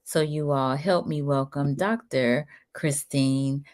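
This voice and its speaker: A woman's voice: sits low, fairly even intonation, slow pace, darkish tone. A woman hosting a live-streamed interview show, upbeat and greeting viewers by name. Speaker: talk show host.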